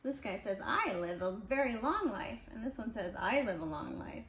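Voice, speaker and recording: A woman's voice: mid-range voice, lively intonation, normal speed, fairly bright tone. Casting: woman, parent reading to kids at home, conversational with explanatory asides, roomy sound with faint noise. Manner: conversational, explains pictures as she reads. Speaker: parent reading aloud to children. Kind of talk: casual picture-book read-aloud with asides to kids. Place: indoors at home.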